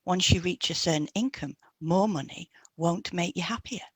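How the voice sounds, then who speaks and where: A woman's voice: on the low side, moderate pace, husky, no room sound. A woman reading a scripted educational piece aloud as a podcast co-host.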